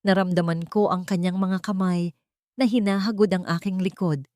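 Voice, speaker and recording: A woman's voice: on the low side, steady tone, moderate pace, warm tone, dry recording. A woman with a Filipino accent narrating a scripted, dramatic romance story.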